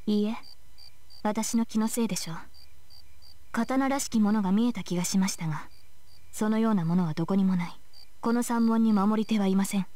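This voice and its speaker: A young woman knight from a visual novel, acted by an actress. She is formal, earnest and watchful. Her lines come very fast, at a middle pitch. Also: warm tone, no room sound, natural voice. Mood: guarded, composed. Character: formal, vigilant young female knight servant. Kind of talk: Japanese visual novel voice acting.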